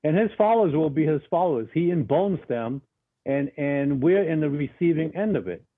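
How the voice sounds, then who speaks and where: A man's voice: a little high, expressive, moderate pace. A man interviewed as a guest over a remote line, answering emphatically and bluntly, often repeating words as he starts a sentence. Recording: tinny phone-like remote line.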